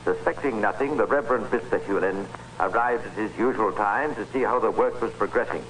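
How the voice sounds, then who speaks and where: An actor playing the grave, measured narrator of a crime tale in an old-time radio drama. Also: male voice, fairly low pitch, fairly bright tone, close to an everyday voice, poor audio. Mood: grave, ominous. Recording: hissy vintage radio recording.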